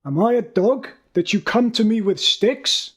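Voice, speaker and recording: Man as male giant warrior, husky, rough, scornful, taunting, scripture drama. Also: sits high, lively intonation, a little slow, a bit bright, exaggerated voice. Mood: scornful, threatening.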